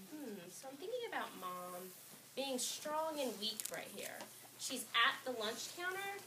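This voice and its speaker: Echoey noisy classroom, woman, elementary school teacher, slightly high voice, read-aloud lesson, instructive questioning delivery. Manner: instructive, pauses to pose questions to students. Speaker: fifth-grade teacher. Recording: roomy, distant, noisy classroom recording.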